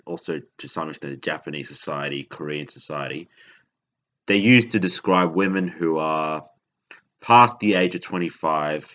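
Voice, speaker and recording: A man from Indonesia hosts a casual podcast monologue. His voice sits extremely deep, and he talks haltingly, often stopping to correct himself. The recording sounds dull and lo-fi. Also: fairly bright tone. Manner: rambling, self-correcting, halting. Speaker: podcast host.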